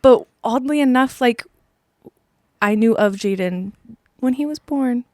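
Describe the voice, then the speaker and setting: A woman's voice: on the high side, measured pace, a little mellow, dry recording, studio quality. A woman in her thirties hosting a podcast, chatting casually with a friend and laughing often. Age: 30s. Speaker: podcast host. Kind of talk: casual interview conversation.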